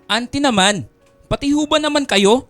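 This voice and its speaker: A caring young Filipino man in a radio drama. His voice sits high for a man. He speaks earnestly and respectfully, over soft music. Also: normal speed. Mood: hurt, defiant. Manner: earnest, polite. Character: devoted, caring young male nurse, respectful but firm.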